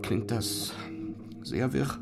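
An actor playing an obsessed, paranoid physicist in a radio drama, speaking in an intense, halting, urgent manner. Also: male voice, mid-range voice, creaky, background noise. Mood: brooding. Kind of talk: German radio drama acting. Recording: music under the voice. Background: music.

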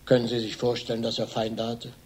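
An actor playing a shrewd, laconic private detective in a radio crime drama, with a dry, probing manner and a husky, gravelly voice. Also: male voice, mid-range voice, completely flat delivery, brisk, natural voice. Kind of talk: German radio crime drama, first-person narration and questioning.

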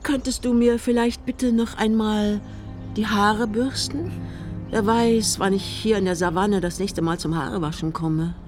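An eccentric, frail old mother, played by an actress in a radio drama, with music clearly behind her. She speaks at a middling pitch with a whimsical, theatrical air and stubborn, imperious determination. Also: fairly even intonation, unhurried, close to an everyday voice. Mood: dreamy. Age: elderly. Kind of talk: German radio play acting.

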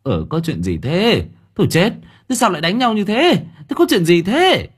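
A man's voice: high voice, huge pitch swings, normal speed, slightly rough, voice pushed up, heavily acted. A man voicing a startled older uncle, over 60, asking what is going on. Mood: alarmed. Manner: alarmed questioning.

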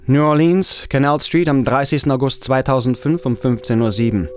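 An actor playing a matter-of-fact narrator who announces the scene in clipped, factual phrases for a radio drama. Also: male voice, mid-range voice, normal speed, slight background noise, natural voice. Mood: factual, neutral. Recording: music behind the voice.

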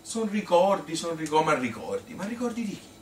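A man playing a chatty old Tuscan grandmother who tells her life story in a colloquial, rambling, halting stage monologue. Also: on the high side, sing-song, pitched above the performer's own voice. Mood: rambling, offhand. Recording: roomy, noisy, distant stage recording.

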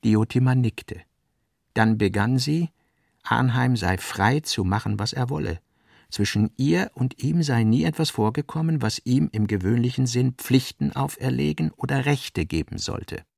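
A man reading scripted literary narration with measured, deliberate phrasing. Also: medium pitch, creaky, darkish tone, dry recording, studio quality.

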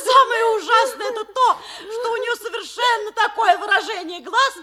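Very high-voiced, fluttery society lady. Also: normal speed, pushed and bright. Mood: helplessly giggling. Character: genteel society widow and mother, fluttery, easily flustered. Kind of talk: theatrical comedy audio play acting.

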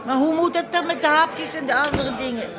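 A woman's voice: sits high, fairly quick, over music, a crowd in the background, lightly acted. An actress playing a nervous, fretting woman in a Dutch radio drama, anxious in manner.

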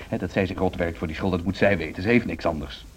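A man's voice: low-pitched, fast, lightly acted. A man acting a blunt, grumbling, sardonic husband in a Dutch social radio drama. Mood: disapproving. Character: grumbling, sardonic husband opposed to wife's ambitions. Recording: dull, noisy radio drama recording.

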